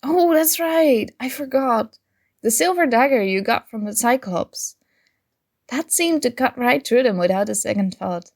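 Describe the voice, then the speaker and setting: A woman's voice: fairly high pitch, unhurried. A woman playing a playful, nerdy young gamer chatting with her crush in a casual, teasing ASMR roleplay. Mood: friendly, chatty. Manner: teasing, animated, conversational. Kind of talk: ASMR roleplay acting, casual conversational chat.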